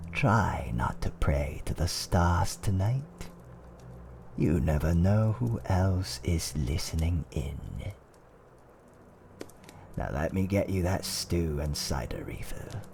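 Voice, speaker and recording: A man playing a fantasy tavern owner, telling dark fireside tales in a hushed, dramatic roleplay ASMR style that often drops toward a whisper. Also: sits low, creaky, a little hiss or hum, full character voice. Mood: genial, sly. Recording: faint background ambience.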